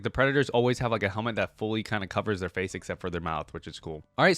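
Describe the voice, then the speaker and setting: A man's voice: fairly low pitch, no room sound, studio quality. A man hosting a reaction video, giving casual, informal commentary and thinking aloud as he goes. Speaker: video host reacting to game content.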